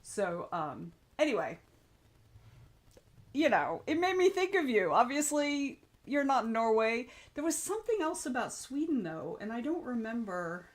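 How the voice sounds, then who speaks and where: A woman's voice: a little high, big pitch swings, measured pace. A young adult woman hosting a writing stream, chatting casually and reading out viewer comments, rambling and frank, with some swearing.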